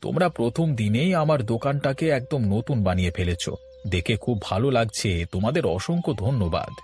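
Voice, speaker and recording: A man's voice: medium pitch, animated, fairly quick, a little mellow, lightly acted. Man acting a kind, honest shopkeeper. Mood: pleased. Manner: welcoming, earnest, explanatory. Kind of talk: Bengali moral-story cartoon dubbing.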